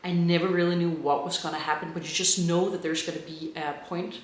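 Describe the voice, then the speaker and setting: A man with a Danish accent talks through his recent reads in a chatty, enthusiastic way. His voice sits on the higher side for a man. The room is echoey, with a faint hiss in the background. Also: flat delivery, a little fast. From Denmark. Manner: chatty, enthusiastic about books. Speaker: book vlogger. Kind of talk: casual book review.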